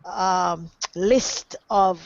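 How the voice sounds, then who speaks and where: Middle-aged female motivational speaker, Jamaican accent, earnest and deliberate, gravelly edge, very dry webinar sound. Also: lowish voice, steady tone, no echo. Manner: deliberate, emphatic phrasing. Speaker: guest, transformational coach. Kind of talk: motivational personal testimony, formal and earnest. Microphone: online webinar connection. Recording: dry, dull lo-fi webinar audio.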